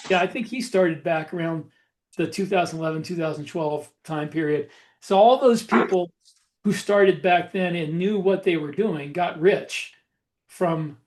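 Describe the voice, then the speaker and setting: A man in a casual podcast conversation, telling stories about his own career in a halting, reflective way. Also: fairly high pitch. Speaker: podcast host and self-published author.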